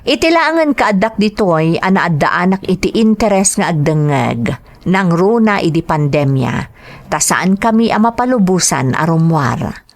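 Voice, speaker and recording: A woman's voice: fairly low pitch, very animated, unhurried. A woman with an Ilocano accent voicing a male factory worker who earnestly narrates his letter in a radio drama. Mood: earnest, reflective.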